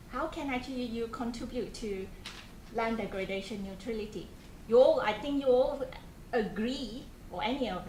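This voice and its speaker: A fairly high-voiced woman with a Malaysian accent talks to a group of students in a conversational way, putting questions to them and often restarting her sentences. The room is echoey, with a faint hum of noise behind her. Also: a little mellow. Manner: conversational, asks the audience questions, frequent restarts and fillers. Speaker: programme coordinator giving a talk to students. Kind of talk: informal presentation to a student group.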